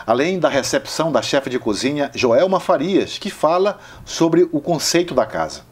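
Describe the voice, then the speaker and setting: A man narrating a travel show about local food in a measured, descriptive way. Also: on the high side, very animated, a little hiss or hum.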